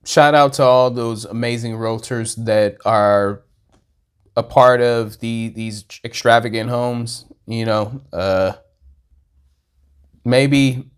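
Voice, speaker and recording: Man, very slow pace, medium-low pitch, halting, repetitive, casual rambling commentary, reaction host. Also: clean tone. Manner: halting, repeats words, talks to himself.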